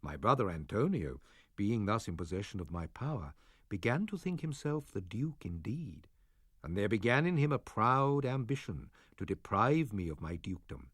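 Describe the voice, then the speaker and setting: A man reading the part of an aged sorcerer and father, with a husky, measured and solemn delivery. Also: on the low side, very animated, a bit bright, no echo, clean studio sound, full character voice. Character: aged male sorcerer, stern but loving father. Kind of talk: audiobook drama reading.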